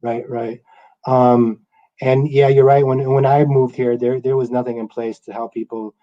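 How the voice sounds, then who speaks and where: A man from Guatemala whose first language is Spanish, hosting a casual interview with a relaxed, stammering delivery. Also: medium pitch, normal speed, dull audio. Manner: relaxed, hesitant with stammered restarts. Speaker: radio host.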